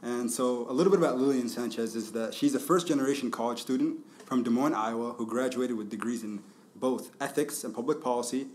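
A man hosts a podcast interview in an upbeat, friendly way, laughing often as he introduces his guest. His voice sits at an ordinary middle pitch, with a faint hiss in the background. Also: brisk, a little mellow. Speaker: podcast host.

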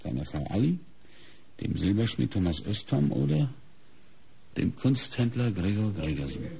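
A sober detective inspector in a radio crime play, husky and dark, thinks out loud. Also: male voice, fairly low pitch, sing-song, heavily acted. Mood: matter-of-fact. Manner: measured, reasoning aloud. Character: sober, methodical middle-aged male police inspector. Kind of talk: German radio crime drama acting.

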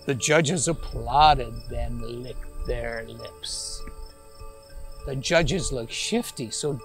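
A man in his sixties reading a rhyming children's picture book aloud in a deliberate, expressive way. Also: medium pitch, faint music behind. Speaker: storytime reader. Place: outdoors in a green garden. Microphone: lapel mic.

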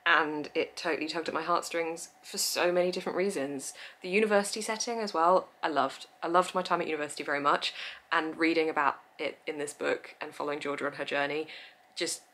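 A young woman vlogging about books she loves, chatty and gushing, with frequent laughs and quick asides, filmed indoors in front of bookshelves. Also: low-pitched, steady tone, fairly bright tone. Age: young adult. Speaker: book vlogger. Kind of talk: casual chat to camera.

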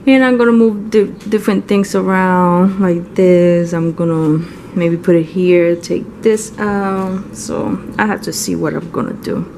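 A woman's voice: lowish voice, fairly even intonation, a little slow, creaky, hardly any pauses, darkish tone, slight background noise. A middle-aged woman chatting casually for a home vlog in a loose, rambling way, correcting herself as she goes. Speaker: home vlogger. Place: at home, indoors, kitchen and nearby room.

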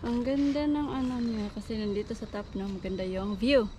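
A woman's voice: a little high, fairly quick, mellow, a little hiss or hum. A woman filming a casual family travel vlog outdoors, chatting and laughing as she walks and switching between Tagalog and English. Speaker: vlogger filming family trip. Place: outdoors at a castle ruin.

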